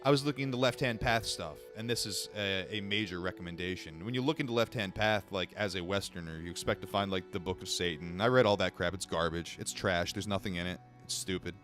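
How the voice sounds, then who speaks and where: A young man with a lowish voice reads a spiritual book aloud for a live audience, tripping over the odd word. Between passages he talks with chat in a loose, blunt, profane way. Faint music plays behind him. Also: fast talker, hardly any pauses, fairly bright tone. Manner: casual and blunt, swears, breaks off reading to answer chat; stumbles over words while reading. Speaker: livestream book reader. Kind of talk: reading a book aloud on a livestream, chatting with viewers.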